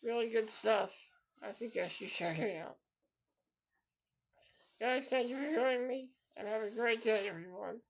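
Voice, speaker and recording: Man, 30s, podcast host, gravelly, earnest repetitive monologue. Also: high-pitched, measured pace, pauses often, slight background noise, poor audio. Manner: earnest and repetitive, circling back to the same phrases.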